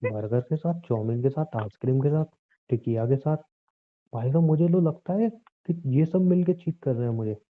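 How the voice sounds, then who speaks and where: A man's voice: on the high side, normal speed. A young man bickering casually as a boyfriend on a phone call, exasperated, scolding and sarcastic. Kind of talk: casual couple's phone chat, playful bickering. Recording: narrow, tinny phone-line sound.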